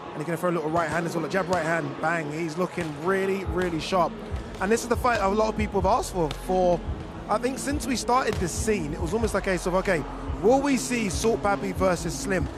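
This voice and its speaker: Excitable man hosting over loud music. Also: high-pitched. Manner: excitable, chatty, hypes the fighters. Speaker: event host. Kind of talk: live event hosting and commentary. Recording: music playing loudly behind.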